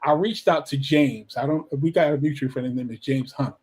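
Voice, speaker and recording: A man's voice: medium pitch, a little fast, darkish tone. Hyped-up male radio show host. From Brooklyn, New York. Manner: friendly, hyped, repeats "so now". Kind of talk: casual interview.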